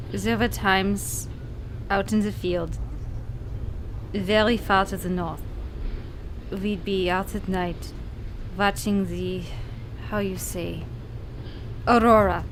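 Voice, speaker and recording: A woman playing a weary, wry Russian scientist in an audio drama, with a Russian accent and halting, terse broken English. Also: medium pitch, slight background noise, close to an everyday voice.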